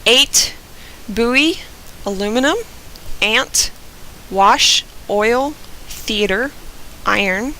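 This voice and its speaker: Bright-voiced young Southern woman. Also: mid-range voice, slight background noise, poor audio. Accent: Southern US, softened by years in Oregon. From Georgia, US. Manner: chatty and unhurried, with frequent "um" fillers. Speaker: vlogger. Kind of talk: casual accent tag video.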